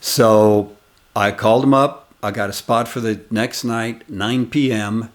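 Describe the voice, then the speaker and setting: A man's voice: lowish voice, steady tone. A man in his sixties, a comedian hosting his channel and casually telling a rambling, reminiscing story of his early days. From United States. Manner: rambling, reminiscing, unhurried anecdotes.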